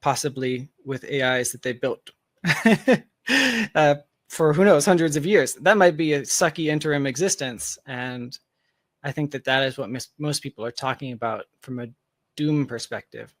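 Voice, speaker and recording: Man, medium pitch, podcast guest, reflective, conversational, hedging asides, thinking aloud, dry recording. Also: normal speed, no room sound. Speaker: podcast guest, tech founder. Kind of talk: casual speculative discussion.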